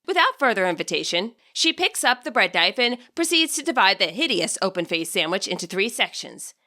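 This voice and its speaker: Bright, forceful woman storyteller. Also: medium pitch, very animated, fairly quick. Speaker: author narrating own fan fiction audiobook. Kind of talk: scripted story narration.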